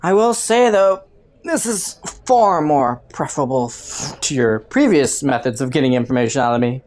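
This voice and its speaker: A woman's voice: very deep voice, animated, moderate pace. A woman playing a flirty, taunting underworld criminal in a roleplay audio, with a playful, teasing drawl. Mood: teasing, intrigued. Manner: playful, teasing drawl with frequent soft chuckles.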